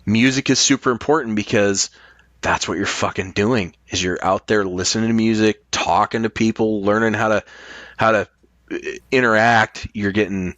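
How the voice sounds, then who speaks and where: A man's voice: fairly low pitch, steady tone, normal speed, fairly bright tone, no room sound. A middle-aged American man in casual, opinionated chat, making his points emphatically and swearing freely.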